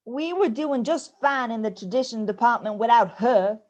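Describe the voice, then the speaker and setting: A woman's voice: fairly high pitch, lively intonation, moderate pace, a little mellow, lightly acted. A woman playing a sour, sarcastic ghost mother-in-law in an amateur radio play. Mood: sour, grudging. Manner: dry, grudging, sarcastic. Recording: slightly dull, lo-fi audio.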